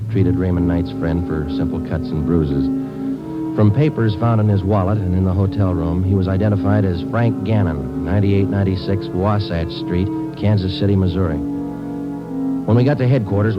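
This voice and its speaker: A man acting a terse, dryly sardonic American detective with a very low voice. He narrates and questions in clipped, matter-of-fact sentences, in the style of a mid-century radio crime drama. The recording is old and dull, with music. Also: close to an everyday voice. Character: dogged male police sergeant, terse and dryly sardonic.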